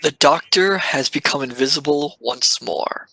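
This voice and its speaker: A male narrator reads scene descriptions for an audio play. His voice is fairly high for a man, crisp and forceful, with a dry sound. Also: measured pace, no room sound, rough recording. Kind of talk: scripted narration of an audio drama.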